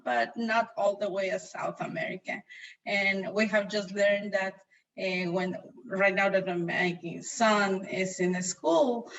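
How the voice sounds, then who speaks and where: A woman's voice: mid-range voice, fairly bright tone, recorded from a distance, lo-fi sound. A woman from Guatemala with a Guatemalan accent, a Spanish speaker telling her personal story earnestly on a video call panel.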